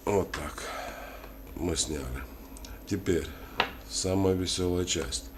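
A man with a cold giving a casual hands-on tutorial, halting and thinking aloud as he works. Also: deep voice. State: has a cold. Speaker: DIY tutorial host. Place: indoors at a wooden worktable. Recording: clearly noisy home recording.

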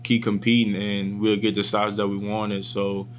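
Calm young man at a press table. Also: on the low side, slight background noise. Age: 20s. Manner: calm and measured, with frequent restarts. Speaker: college football player. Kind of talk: post-game press conference answers. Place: press room in front of a sponsor backdrop. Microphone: table microphones in front of him.

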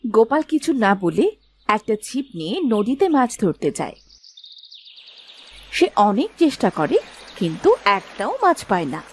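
A woman giving scripted narration for an animated children's story. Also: mid-range voice, moderate pace, long pauses, a little hiss or hum.

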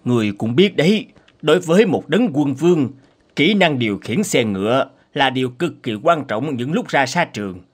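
Boastful, animated cartoon king. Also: mid-range voice, moderate pace, breathy edge. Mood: commanding. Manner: imperious, boastful. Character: proud, commanding, competitive bearded king. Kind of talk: cartoon fairy-tale voice acting.

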